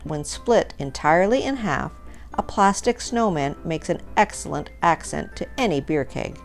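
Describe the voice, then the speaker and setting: A woman's voice: deep voice, a little mellow. A woman reading a humorous story aloud as a storyteller, in scripted narration. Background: music.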